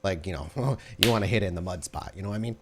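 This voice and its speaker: A man's voice: sits low, fast talker, noisy background, voice pushed down, close to an everyday voice. A man playing a cheeky, crude vampire errand-runner in a tabletop game, rambling in a lazy, flippant way. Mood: crude, musing.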